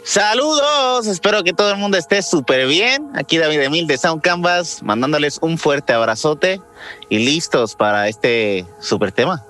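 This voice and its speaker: A man from Puerto Rico with a bright, punchy and somewhat high voice co-hosts a podcast. He chats casually and playfully over a light music bed. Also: big pitch swings, moderate pace. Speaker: podcast co-host.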